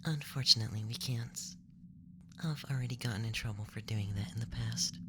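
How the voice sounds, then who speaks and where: A man playing a gentle, apologetic alien examiner in soft-spoken, often whispered ASMR roleplay, close to the microphone. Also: medium pitch, brisk, background noise, lightly acted. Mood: calm, gentle. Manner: hushed, often whispering, soothing. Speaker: ASMR performer.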